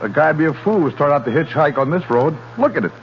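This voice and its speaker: Rural American pump attendant, animated, colloquial, folksy, vintage radio play, dull noisy recording. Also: male voice, fairly high pitch, fast talker. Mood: incredulous. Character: folksy, plain-spoken male rural gas station attendant. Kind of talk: 1940s radio drama acting.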